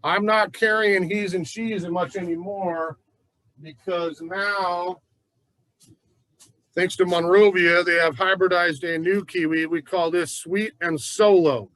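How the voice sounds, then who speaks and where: A man's voice: sits high, fairly even intonation, takes it slowly, fairly bright tone, no room sound, rough recording. Folksy man teaching a gardening class. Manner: chatty, folksy, enthusiastic. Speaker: nursery gardening instructor. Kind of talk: casual gardening class talk. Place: plant nursery.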